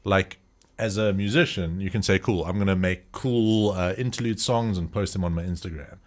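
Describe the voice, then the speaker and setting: A man in his thirties with a lowish voice talks loosely on a podcast. He rambles, restarts and slips into quick acted-out exchanges to make his point. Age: 30s. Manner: rambling, animated, acts out little scenes. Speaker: podcast co-host. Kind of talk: casual chat.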